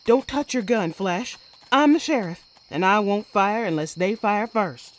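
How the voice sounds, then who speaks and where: An actress playing a sharp, defiant Old West woman sheriff with folksy speech, in a western audio drama. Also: mid-range voice, lively intonation, a little slow, slight background noise, close to an everyday voice. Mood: commanding. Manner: folksy frontier talk, assertive. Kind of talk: western comic-book audio drama acting.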